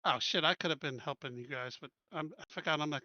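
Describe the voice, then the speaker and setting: A man playing in a tabletop roleplaying game, joking in a relaxed, casual way. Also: on the high side, fast talker, no room sound, highs cut off. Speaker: player.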